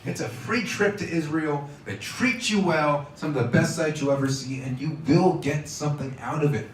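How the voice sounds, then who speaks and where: A man's voice: on the high side, normal speed. A man in casual radio chat as a guest, talking in an earnest, urging way. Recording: roomy, distant mic, faint noise.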